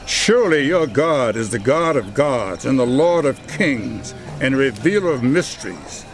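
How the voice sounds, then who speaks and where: A man's voice: mid-range voice, animated, a little slow, soft background music, lightly acted. An actor playing an imperious ancient king in a dramatized audio Bible, grand and commanding. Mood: awed. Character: Nebuchadnezzar, imperious king of Babylon, awed.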